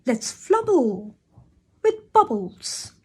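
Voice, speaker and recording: An excitable little male puddle creature with a tail and paws chatters in rhymes, his voice pitched high and swooping up and down, as a woman puts him on. The sound is soft and breathy, and he takes his time even while he bounces. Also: very slow, full character voice. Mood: gleeful.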